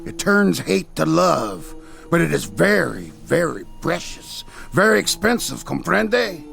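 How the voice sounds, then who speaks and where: Greedy radio-drama con man, creaky gravel voice, swooping pitch, wheedling, mocking. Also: very animated, unhurried, a bit bright, soft background music, exaggerated voice. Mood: sly, wheedling. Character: sly greedy swindling peddler, a man.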